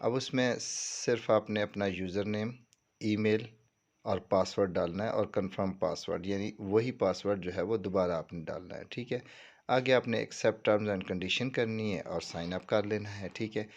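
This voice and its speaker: Low-voiced Pakistani man explaining step by step. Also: unhurried, lo-fi sound. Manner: explanatory, repeatedly checking the listener follows. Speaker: tutorial presenter. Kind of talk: informal screen walkthrough.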